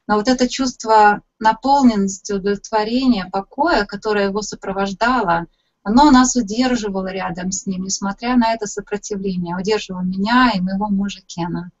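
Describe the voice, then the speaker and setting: A woman hosting a webinar and interpreting the guest's words, in a measured, even delivery. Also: mid-range voice, lo-fi sound. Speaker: host and interpreter. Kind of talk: consecutive interpreting into Russian. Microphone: webinar call.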